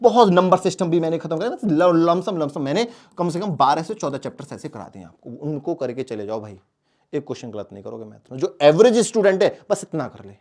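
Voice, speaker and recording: Fast-talking man teaching. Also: fairly high pitch, very animated, a little mellow. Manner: emphatic, repeats key phrases; asks questions and answers them himself. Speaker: exam coaching teacher. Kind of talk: exam strategy lesson addressed to students.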